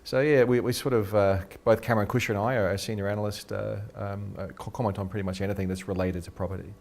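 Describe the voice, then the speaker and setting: A middle-aged Australian man, a research head being interviewed, talks quickly and eagerly and restarts his sentences a lot. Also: fairly low pitch, steady tone, creaky, a little mellow. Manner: quick, eager, repeats words when starting sentences. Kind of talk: casual interview talk. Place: radio studio.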